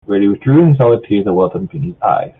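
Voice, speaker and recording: A man with a husky voice narrates a story informally, stumbling over lines and rereading them. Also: lowish voice, lively intonation, rapid-fire, slight background noise. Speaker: narrator of a group fan fiction reading. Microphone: online voice chat. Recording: muffled, phone-like voice chat audio.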